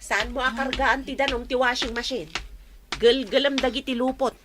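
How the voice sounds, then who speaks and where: A woman's voice: high voice, steady tone, normal speed, background noise, close to an everyday voice. A woman playing a bossy, sickly, self-pitying aunt in an Ilocano radio drama, scolding in an Ilocano Filipino accent. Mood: nagging. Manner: scolding, demanding.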